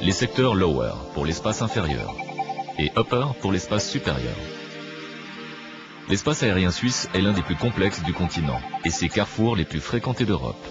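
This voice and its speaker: A man reading a scripted documentary narration in a measured, didactic manner. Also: deep voice, very animated, muffled recording. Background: clear background music.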